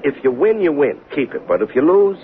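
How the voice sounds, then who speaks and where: Animated, pushy poker-playing man. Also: on the high side, a little fast. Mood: coaxing. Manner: coaxing, animated, insistent. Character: pushy, cajoling male poker-playing commuter. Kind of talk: 1970s radio drama acting. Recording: noisy, narrow-band old radio broadcast.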